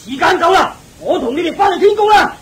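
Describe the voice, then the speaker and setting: A man's voice: extremely high pitch, lively intonation, brisk, rough recording. An actor playing an impatient, blustering demon king in a radio drama, speaking Hong Kong Cantonese. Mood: impatient, bossy.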